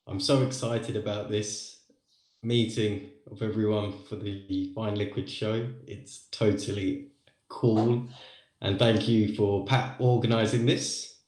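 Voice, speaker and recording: A man's voice: on the low side, level tone, slow, a little mellow. A man from London giving a casual self-introduction as a guest on an online panel. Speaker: panel guest, water sommelier. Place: online video call. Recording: echoey room, video call.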